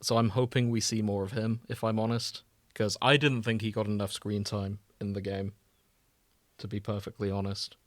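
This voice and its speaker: A man's voice: lowish voice, level tone, moderate pace, dry recording. A man co-hosting a podcast in casual banter, chatting informally and swearing now and then. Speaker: podcast co-host.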